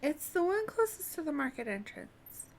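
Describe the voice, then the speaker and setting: A woman's voice: high voice, very animated, normal speed, vocal fry, slight background noise. A woman giving casual commentary as she streams a video game.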